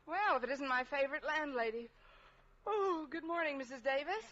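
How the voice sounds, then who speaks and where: An American actress playing a wry, wisecracking schoolteacher with dry, sardonic delivery in an old-time radio comedy. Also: female voice, high voice, lively intonation, normal speed, a crowd in the background. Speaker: radio sitcom lead actress. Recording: muffled, noisy old radio recording.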